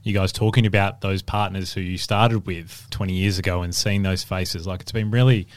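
A man's voice: deep voice, fairly even intonation, moderate pace, dry recording. A man hosting a podcast, asking questions with many "like" fillers. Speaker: podcast host. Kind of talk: podcast interview.

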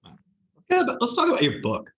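A male host who fires off his questions quickly and eagerly. His voice is husky and his pitch moves up and down a lot. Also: huge pitch swings, a bit bright. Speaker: interview host. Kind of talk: interview questions.

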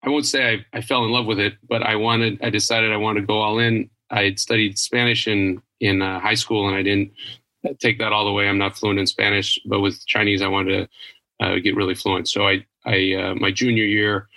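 A man's voice: fairly low pitch, little pitch movement, moderate pace, sharp tone, rough recording. A man from Idaho in his thirties, answering interview questions thoughtfully and often restarting his sentences. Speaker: business executive guest.

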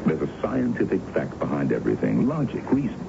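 A man playing a suave, condescending colonel in a radio drama, with a smooth, theatrical manner. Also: extremely low pitch, huge pitch swings, brisk, noisy background, heavily acted. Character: suave, dismissive colonel courting a lady. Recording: dull old radio broadcast with music.

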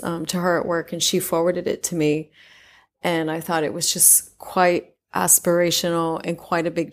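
A woman hosting a podcast interview, introducing her guest and asking questions in an earnest, conversational way. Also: low-pitched. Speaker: podcast host.

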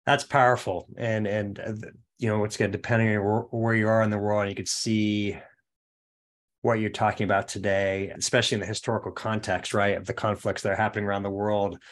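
A man with a fairly low voice hosts a podcast interview. He speaks courteously, affirming what his guest says and thanking him warmly as the episode closes. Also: flat delivery, brisk. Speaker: podcast host. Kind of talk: interview wrap-up.

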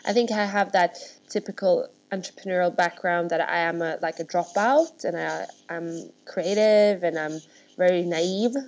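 Woman, guest founder, lowish voice, rambling casual delivery. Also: moderate pace, a little mellow. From Sweden. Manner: chatty, rambling with many restarts. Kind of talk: casual interview chat.

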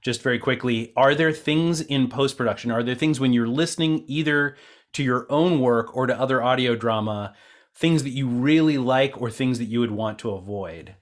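A man's voice: medium pitch, normal speed. Reflective man hosting a conversational interview. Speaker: interviewer and co-producer, theater actor.

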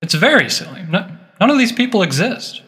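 A man's voice: high voice, sing-song, a little fast, sharp tone, exaggerated voice. A man voicing a mocking male ghost who interrupts and scolds, with a ghostly reverb on his voice. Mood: dismissive. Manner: teasing, dismissive. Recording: long hall reverb.

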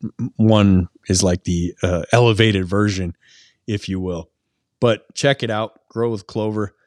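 Rambling low-voiced podcast host. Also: male voice, fairly even intonation, unhurried, darkish tone, no echo, studio quality. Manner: rambling, many fillers, laughs easily. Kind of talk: casual podcast chat.

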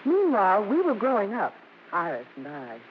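An actress playing a grown daughter who narrates her family's story with measured, wry pauses, in scripted old-time radio drama style. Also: medium pitch, wildly swooping pitch. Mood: wistful reminiscing. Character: grown daughter Mikey recalling her show-business family. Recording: muffled, very noisy old radio recording.

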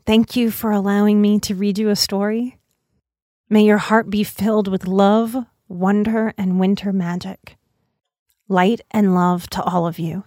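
A woman podcast host reading aloud in an expressive storytelling style. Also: mid-range voice, unhurried, no echo, studio quality.

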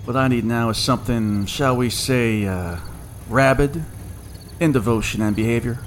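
Sly, folksy dark stranger played by a man. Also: medium pitch, moderate pace, slight background noise, lightly acted. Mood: smug, folksy. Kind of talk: audio drama acting.

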